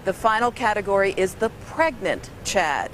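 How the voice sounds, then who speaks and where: A woman's voice: medium pitch, expressive, moderate pace, a bit bright, poor audio. Female TV reporter, medium register, explanatory live-report delivery, light background noise. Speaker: TV news reporter. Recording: faint ambient background noise.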